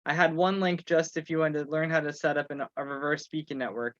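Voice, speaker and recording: A man with a slightly high voice chairs a casual online meeting. He talks haltingly, working through his thoughts aloud. The sound is dry and a little dull, as on a video call. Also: fairly even intonation, fairly bright tone, dry recording. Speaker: meeting host and organizer. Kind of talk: informal online meeting talk. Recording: muffled video call audio.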